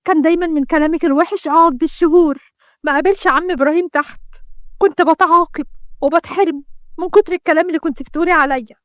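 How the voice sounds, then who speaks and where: A woman's voice: very high-pitched, animated, fast talker, no room sound, studio quality, pitched above the performer's own voice. A woman voicing a tearful, wronged young woman who pleads emotionally in Egyptian Arabic. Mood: hurt, accusing.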